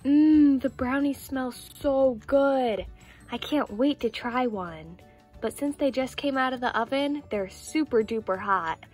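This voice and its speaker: A woman's voice: a little high, animated, moderate pace, soft background music, close to an everyday voice. A woman voicing a cheerful doll girl in a scripted toy play video, sweet, enthusiastic and instructional. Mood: delighted. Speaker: toy channel host.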